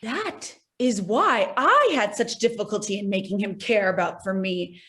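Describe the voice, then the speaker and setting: Woman as an animated, assured society lady. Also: mid-range voice, measured pace. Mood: earnest. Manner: assured, theatrical. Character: composed, scheming romantic society lady. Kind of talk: stage acting in an online one-act play.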